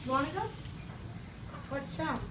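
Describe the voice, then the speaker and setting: High voiced lady doing kids story time, asks them to join in, far from mic in a really noisy echoey room. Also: unhurried. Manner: encouraging, prompting, acting out sound effects. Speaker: children's story-time teacher. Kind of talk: read-aloud picture book to young children, interactive with questions. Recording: roomy, distant mic, loud background noise.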